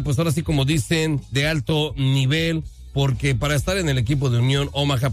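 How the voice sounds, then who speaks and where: A male radio presenter with a fairly high voice addresses listeners in an upbeat, emphatic style. His phrasing is courteous and announcer-like, with words drawn out for effect, and music plays behind him. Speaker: radio host. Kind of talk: upbeat live radio show presenting and interviewing. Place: radio studio. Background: music playing under the voice.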